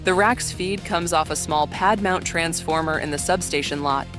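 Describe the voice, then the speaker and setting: A narrator reading an audio drama in a measured, literary manner. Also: medium pitch, over music.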